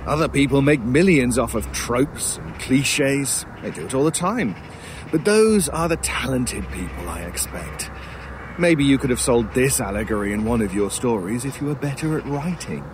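A man's voice: mid-range voice, very animated, moderate pace, background noise, lightly acted. A man playing a sinister talking crow-like scavenger in an audio horror drama, formal, erudite and drily mocking. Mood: cold, mocking.

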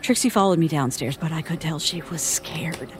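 An actress playing a shaken woman who haltingly recounts a traumatic childhood ordeal in an audio drama monologue. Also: low voice, background noise, lightly acted. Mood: haunted, tense. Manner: halting, haunted storytelling. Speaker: interviewee.

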